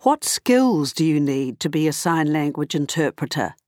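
Low-voiced New woman narrating. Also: normal speed, no room sound, studio quality. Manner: plain, steady storybook reading. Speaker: narrator and interviewer. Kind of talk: scripted read-aloud.

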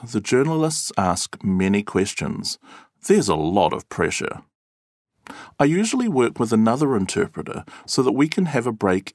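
A man reading an interviewee's answers aloud in a clear, measured and expressive way. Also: fairly low pitch, dry recording, studio quality. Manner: clear, measured and expressive, acting out example words. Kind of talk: scripted read-aloud.

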